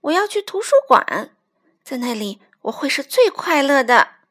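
A woman's voice: high voice, very animated, normal speed, a bit bright. A woman voicing a friendly little boy mouse in a children's story, polite and eager. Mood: eager, happy.

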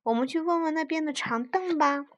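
Cheeky, eager teddy bear buddy, pitched up, played by a woman. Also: expressive, moderate pace, fairly bright tone, lo-fi sound. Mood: cheery. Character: playful excitable teddy bear friend.

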